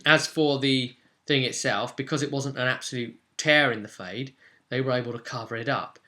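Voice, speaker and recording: Bright, forceful-voiced man rambling casually. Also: medium pitch, steady tone, normal speed. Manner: rambling, conversational, blunt with some swearing. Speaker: game master and video host. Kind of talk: casual recap of a tabletop roleplay session, to camera.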